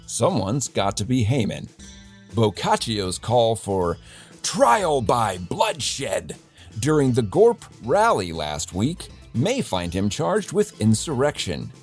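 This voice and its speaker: A man's voice: mid-range voice, wildly swooping pitch, slow pace, faint music behind, full character voice. Man playing a sardonic, wildly swooping dystopian radio host. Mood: wry newsreading. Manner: theatrical, sardonic, mock-grand. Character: wry, defiant male radio news host in dystopian future city. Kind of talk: satirical scripted newscast monologue.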